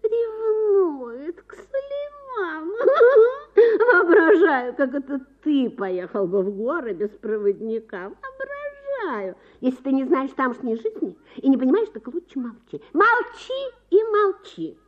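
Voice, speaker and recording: A woman voicing a coy, self-righteous society wife in a dramatic story reading, gushing and theatrical, with drawn-out words. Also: high voice, very animated, muffled recording, pitched above the performer's own voice. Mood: indignant.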